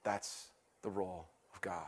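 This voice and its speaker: A middle-aged man preaching as a teaching pastor, conversational and self-deprecating, swinging from loud excitement to hushed intensity before a church congregation. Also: low-pitched, a little slow, husky. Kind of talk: sermon.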